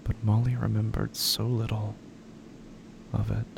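Very gravelly, low-voiced male narrator. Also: completely flat delivery, unhurried, noisy background. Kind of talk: scripted horror narration.

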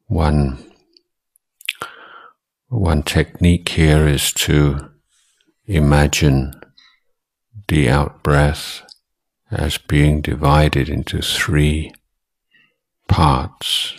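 Male meditation teacher, very deep bass voice, breathy and rough-edged, measured short phrases, long pauses. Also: little pitch movement. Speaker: Buddhist monk and meditation teacher. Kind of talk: guided meditation instruction.

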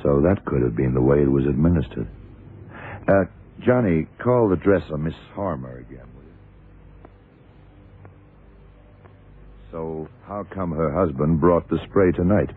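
A very deep-voiced, dry male detective fires clipped, probing questions. The voice is husky and unflappable, in the manner of a seventies radio thriller. It comes through thin and tinny, like an old broadcast. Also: animated, moderate pace, full character voice. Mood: brisk. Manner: clipped, matter-of-fact questioning. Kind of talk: 1970s radio crime drama acting. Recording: tinny, band-limited old radio recording.

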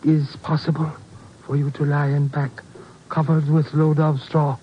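A man's voice: on the high side, flat delivery, moderate pace, a little hiss or hum, lightly acted. Solemn old Korean farmer played by a man. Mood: helpful. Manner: formal clipped broken English. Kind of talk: 1950s radio drama acting. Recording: muffled old radio recording.

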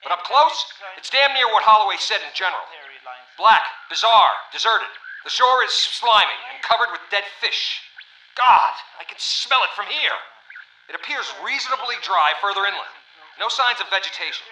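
An actor playing a blunt, commanding navy captain in a radio drama, speaking over a ship's radio. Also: male voice, high-pitched, expressive, moderate pace, pushed and bright, reverberant, heavily acted, rough recording. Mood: uneasy awe. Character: gruff, commanding male navy ship captain. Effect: radio transmission.

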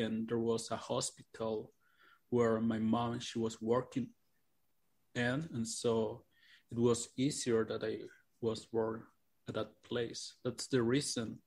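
A young man from Mexico, Spanish his first language, talks in a casual podcast over a remote line. He gropes for words, restarts and repeats phrases as he goes. His pitch sits in an ordinary middle range. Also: darkish tone. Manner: searching for words, repeats himself. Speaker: co-host.

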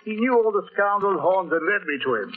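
A sardonic older father with dry humour and a fairly high voice. The style is 1940s radio acting. The recording is thin and tinny, like a telephone. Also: animated, a little fast, a little hiss or hum. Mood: dry, amused. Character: sardonic older English father, henpecked but wry.

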